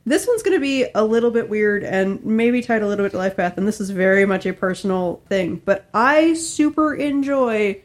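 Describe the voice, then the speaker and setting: A woman's voice: mid-range voice, animated, moderate pace, hardly any pauses. A woman in a casual podcast discussion, thinking aloud and qualifying her points as she goes. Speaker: podcast panelist.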